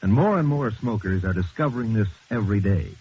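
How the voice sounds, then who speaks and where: A man delivering a scripted 1950s radio commercial in an emphatic, salesmanlike way, with a husky voice. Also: fairly low pitch, lively intonation, fairly quick, a little mellow. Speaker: commercial announcer. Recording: muffled old radio recording.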